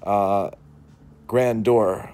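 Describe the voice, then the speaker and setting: A man's voice: on the low side, steady tone, very slow, mellow. A man in his thirties with a New England accent, talking earnestly to his phone camera from a couch at home, with frequent restarts and fillers. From New England, Boston. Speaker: vlogger. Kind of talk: reflective talk to camera. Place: living room, seated on couch indoors. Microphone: phone camera at arm's length.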